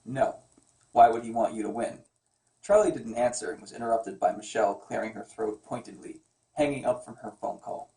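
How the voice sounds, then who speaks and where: A man's voice: mid-range voice, fairly quick, vocal fry, dark tone, recorded from a distance. Joking man reading aloud in a roomy echo. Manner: joking, teasing, stumbles while reading. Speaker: comic artist reading wife's novel aloud. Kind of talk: amateur read-aloud with joking asides. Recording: roomy echo, away from the mic.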